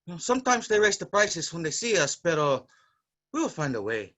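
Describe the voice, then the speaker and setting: Actor as a high-voiced, protective brother. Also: male voice, very animated, a little fast, a bit bright. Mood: caring. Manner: earnest, protective, scolding when angry. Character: Macario, caring, responsible, bookish Filipino brother. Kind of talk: staged reading drama. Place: online virtual staged reading.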